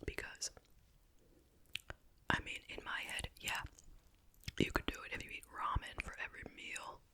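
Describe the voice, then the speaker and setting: A person whispering in a breathy, unvoiced voice, chatting casually and without hurry as an ASMR host during a meal. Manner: casual, unhurried rambling while eating. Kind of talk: whispered ASMR chit-chat mukbang.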